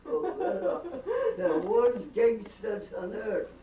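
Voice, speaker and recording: Elderly woman, slow halting storytelling, distant mic, dull faintly hissy home recording. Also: mid-range voice, echoey room. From Bukovina, then Austria. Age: older. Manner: slow, halting, drifting off topic with strong opinions. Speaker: interviewee. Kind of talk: rambling family storytelling. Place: family home during a dinner gathering.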